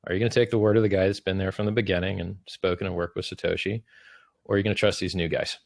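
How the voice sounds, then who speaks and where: A man's voice: sits low, little pitch movement, rapid-fire, creaky, a little mellow, no room sound, clean studio sound. A man hosting a debate, laying out the other side's case in a casual way with many fillers. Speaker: debate host. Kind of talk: spoken debate, summarizing the other side.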